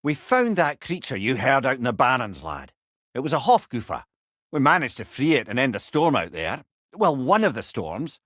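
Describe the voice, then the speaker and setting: Old scottish wise companion from a video game, wry n chatty, voice goes up and down a lot, super dry sound. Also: male voice, mid-range voice, wildly swooping pitch, normal speed, fairly bright tone, dry recording. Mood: earnest, informative. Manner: wry, eloquent, lilting storyteller's delivery. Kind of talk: video game character dialogue.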